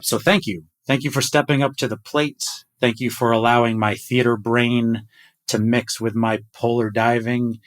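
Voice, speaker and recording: A man's voice: medium pitch, little pitch movement, normal speed. A middle-aged man hosting a podcast conversation over a video call, enthusiastic as he shares numbers with his team. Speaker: podcast host. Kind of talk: casual chat.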